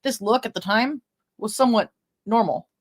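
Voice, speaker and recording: Female true-crime podcast co-host, higher-pitched, informal, shifts between reading notes and casual banter. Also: normal speed, breathy edge.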